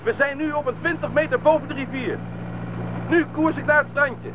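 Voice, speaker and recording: A man in a radio play playing a confident, practical pilot who explains things in a measured, self-assured way. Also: very high voice, fairly even intonation. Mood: calm, instructive. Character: confident, practical bush pilot, adult man, eager and unafraid. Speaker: small-plane pilot. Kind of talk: Dutch radio play acting. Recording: tinny band-limited radio recording, loud engine noise.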